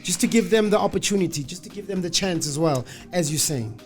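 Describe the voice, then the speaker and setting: A woman hosting a radio interview, her voice sitting on the lower side. She encourages her guest with quick, playful asides. Soft music runs faintly beneath the studio conversation. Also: lively intonation. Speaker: radio host.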